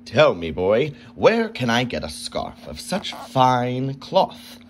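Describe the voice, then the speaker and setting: A man reading a storybook aloud and voicing a greedy, boastful king who snaps and barks orders loudly. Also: medium pitch, huge pitch swings, takes it slowly, slight background noise, voice pushed up. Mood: demanding. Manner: loud, commanding, snapping.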